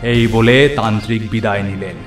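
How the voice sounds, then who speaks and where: A man's voice: on the low side, normal speed. A man narrating a scripted horror cartoon story in a dramatic storytelling style. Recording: echoey, clear background music. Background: music.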